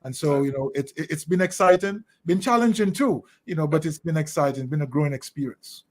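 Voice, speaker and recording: Interview guest: Jamaican man, middle-aged, slightly higher pitch, deliberate and thoughtful delivery. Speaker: interview guest, leadership consultant.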